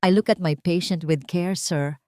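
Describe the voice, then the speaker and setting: A woman's voice: fairly low pitch, moderate pace, dark tone, no echo, close to an everyday voice. A woman narrator acts out a private nurse with a secret. She is composed, polite and professional, guarding herself in an audio romance drama. The sound is close and very dry. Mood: controlled, professional. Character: composed, secretive young nurse hiding a mother's identity.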